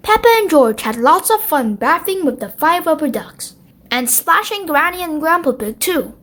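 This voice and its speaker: A woman with a very high-pitched voice narrates a children's storybook. Her read-aloud delivery is expressive and aimed at young listeners. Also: normal speed.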